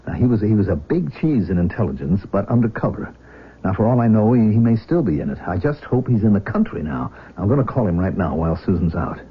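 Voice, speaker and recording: Earnest, protective father with swooping pitch. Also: big pitch swings, husky, exaggerated voice. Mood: anxious, resolved. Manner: earnest, emphatic, halting repetitions. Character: protective father, former soldier, agitated but determined. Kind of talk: 1970s radio drama acting. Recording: dull, noisy old radio broadcast.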